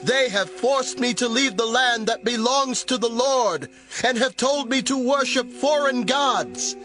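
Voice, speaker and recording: An actor playing a bold, devout young warrior in an audio drama, with drawn-out, declamatory delivery. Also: male voice, extremely high pitch, a bit bright, faint music behind. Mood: earnest, pleading.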